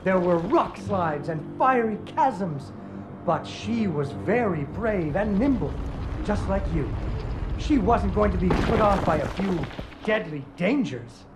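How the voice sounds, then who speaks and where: A playful, wry father tells a bedtime fairy tale in a video game. His delivery is very animated and expressive, rising and falling freely, with loud music underneath. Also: high voice, slow. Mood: playful, dramatic. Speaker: storyteller. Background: prominent music.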